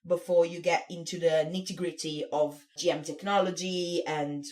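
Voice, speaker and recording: A woman's voice: fairly low pitch, steady tone, moderate pace, a little mellow. A young woman co-hosting a casual science podcast, a little nasal from a cold and laughing often. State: has a cold, slightly nasal. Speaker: podcast co-host.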